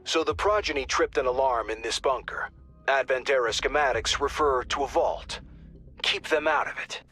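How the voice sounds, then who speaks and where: A man's voice: medium pitch, normal speed, bright, forceful tone, slightly rough. A man playing an informal, earnest intel officer who gives squad updates in a video game, in a conversational way. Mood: alert. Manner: conversational, alert. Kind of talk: scripted video game radio briefing. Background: faint music.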